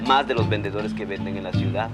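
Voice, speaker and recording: A man's voice: fairly low pitch, fast, loud music behind the voice. A man with a Guatemalan accent telling his life story as testimony, plainly, repeating and rephrasing himself. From Quiché, Guatemala. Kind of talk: autobiographical testimony.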